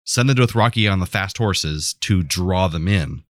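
A man's voice: deep voice, lively intonation, normal speed, dry recording, studio quality. A man co-hosting a podcast, explaining his thoughts in a casual, conversational way. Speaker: podcast co-host. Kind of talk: casual chat about a TV show.

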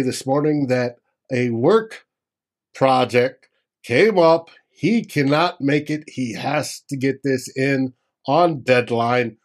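A man's voice: medium pitch, darkish tone. A man co-hosting a sports podcast, wordy and reading out sponsor plugs. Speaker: podcast co-host. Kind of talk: casual chat.

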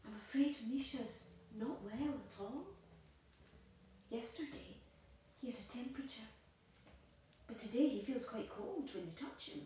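A woman's voice: fairly high pitch, measured pace, long pauses, warm tone, close to an everyday voice. A woman playing an anxious, controlling young mother and wife in an audio play, insistent and fussing. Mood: worried. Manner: insistent, nagging, doting on her baby. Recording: very echoey room, distant, faint hiss.

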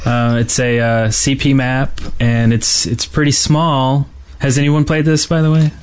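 A man's voice: mid-range voice, flat delivery, measured pace, darkish tone, clean tone. A man co-hosting a casual gaming podcast, talking in a hesitant, rambling way with trailing thoughts. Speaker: podcast co-host.

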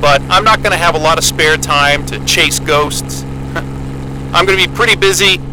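A man's voice: fairly high pitch, normal speed, natural voice. A man acting as an earnest, bookish young astronomer in an audio drama, with a hesitant, polite, wry way of talking. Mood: assured, casual. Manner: hesitant, polite, wry, stammering over words. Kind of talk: naturalistic audio drama dialogue. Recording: loud engine hum and vehicle noise.

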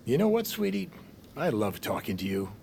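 Creaky, wily old reporter, animated. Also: male voice, mid-range voice, moderate pace, a little hiss or hum. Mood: probing. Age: older. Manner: wheedling, sardonic. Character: wily old male reporter, sardonic and smooth-talking. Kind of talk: period audio drama acting.

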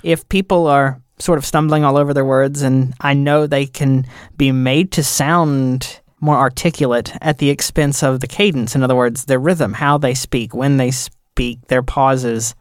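A man with a mid-pitched voice talks casually, as if explaining his editing work. The recording is very dry, with hardly any room sound. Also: fairly even intonation, unhurried, few pauses, clean tone, no room sound. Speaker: podcast audio editor.

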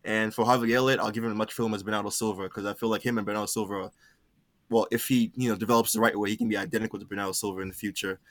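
A young man from Cameroon, raised in the United States, calling in to a casual football chat and talking in halting bursts full of fillers. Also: on the low side, flat delivery, no echo. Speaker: caller.

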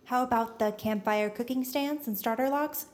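Higher-voiced, bossy young woman played by an actress. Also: normal speed, a little mellow, close to an everyday voice. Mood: brisk, exacting. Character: bossy, earnest, chatty young woman, nerdy best friend. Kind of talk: naturalistic audio drama acting.

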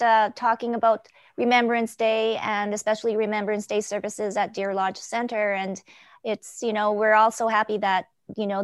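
A woman's voice: fairly high pitch, no echo, studio quality. A woman hosting a group video chat in a conversational, somewhat rambling way, circling back mid-sentence. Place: remote video call.

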